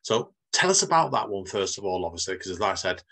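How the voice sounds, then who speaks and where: A man's voice: low-pitched, big pitch swings, fast, fairly bright tone. A man hosting a podcast interview in a chatty, rambling way, laughing at himself as he goes. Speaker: podcast host. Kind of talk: casual interview chat.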